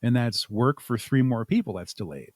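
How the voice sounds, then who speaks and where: Male interview guest, mid-pitched, conversational, explains step by step, close dry sound. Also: sing-song, moderate pace, no echo. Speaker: interview guest, audio drama creator.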